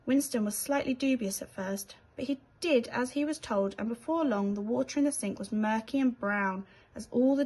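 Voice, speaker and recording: A woman with a British accent reading a children's bedtime story aloud in an expressive storytelling style. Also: fairly high pitch, slightly rough, rough recording.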